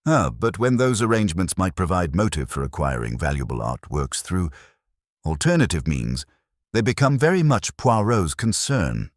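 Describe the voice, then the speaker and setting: A man reading the part of a fastidious detective, speaking in a precise, probing, formal way. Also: low voice, big pitch swings, no echo, clean studio sound. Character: fastidious Belgian male detective, middle-aged.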